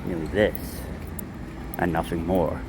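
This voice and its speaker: A man, an amateur reader, recites Gothic poetry aloud in measured, dramatic phrases with pauses while out walking. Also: deep voice, fairly even intonation. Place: outdoors, walking a dog. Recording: outdoor street noise, vehicles, jangling keys.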